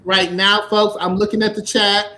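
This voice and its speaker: Emphatic American host, mid-pitched voice. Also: flat delivery, normal speed, bright, forceful tone. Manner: emphatic, preachy, repeats phrases for stress. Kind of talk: live online arts talk. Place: indoor room at home, video call. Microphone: video call mic.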